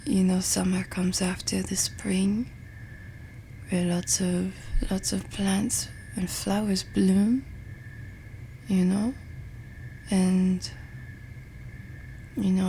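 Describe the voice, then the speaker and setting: Slow, whispery French woman roommate, acted by a woman. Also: medium pitch, a little hiss or hum. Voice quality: soft, breathy, whispery. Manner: hesitant, musing, self-deprecating. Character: French woman roommate, dramatic, pensive, affectionate overthinker. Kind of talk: intimate ASMR roleplay ramble. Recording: music behind the voice. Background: music and summer ambience.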